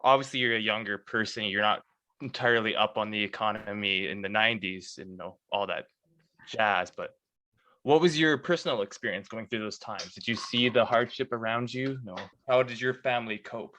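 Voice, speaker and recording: A man's voice: fairly low pitch, a little fast, pushed and bright. A man co-hosting a podcast, chatting casually and asking long, probing questions. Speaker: podcast co-host. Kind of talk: casual chat.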